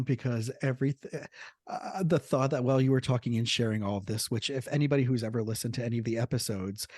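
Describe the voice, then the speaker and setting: A male podcast host who works as a therapist talks eagerly, stumbling over words and restarting his thoughts. His pitch sits mid-range for a man. The recording is dry and close, with no room sound. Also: no room sound. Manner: excited, rambling, many restarts. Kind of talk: casual podcast chat.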